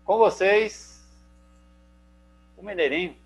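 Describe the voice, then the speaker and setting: A man's voice: high voice, slow, slightly rough. A man with a Brazilian accent hosting an online poetry evening in a chatty, filler-heavy way over a video call. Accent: Brazilian Portuguese. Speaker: host. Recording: dull video call audio with mains hum.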